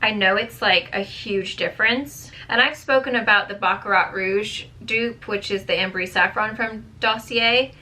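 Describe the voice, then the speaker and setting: A woman talks casually to her camera about beauty products, rambling and leaning on fillers. Her voice is bright and a little sharp, but strained and on the edge of hoarseness. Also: medium pitch, moderate pace, hardly any pauses, rough recording. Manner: chatty, rambling, many fillers. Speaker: beauty vlogger.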